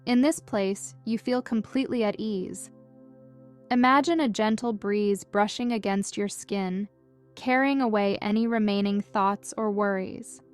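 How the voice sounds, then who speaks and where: A woman leads a guided meditation with a mid-range voice. Her delivery is gentle, calm and measured, like scripted instructions meant to soothe. Music plays behind her. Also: medium pitch, smooth.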